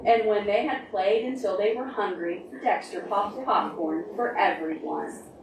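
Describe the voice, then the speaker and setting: A woman reading a picture book and leading preschool activities, talking to small children in simple, animated prompts. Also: mid-range voice, a little slow, background noise. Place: library storytime room, recorded from a distance. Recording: roomy, distant mic with music behind.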